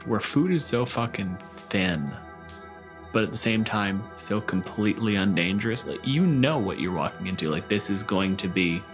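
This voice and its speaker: A man's voice: lowish voice, fairly bright tone. A man casually hosting and narrating a tabletop game as game master, irreverent and free with swearing.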